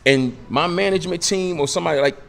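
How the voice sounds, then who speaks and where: A man's voice: a little high, fast talker, gravelly, fairly bright tone, faint music behind. A man in his late forties, a radio interview guest, talking emphatically in street slang and hammering his points with repetition. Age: 40s. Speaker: podcast guest.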